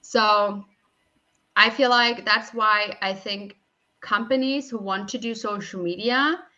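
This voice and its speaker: A young woman speaks in a very bright, forward voice at a middle pitch. She gives practical advice conversationally, with some hesitant phrasing. The recording is a little dull and lo-fi. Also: steady tone, takes it slowly. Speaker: podcast guest.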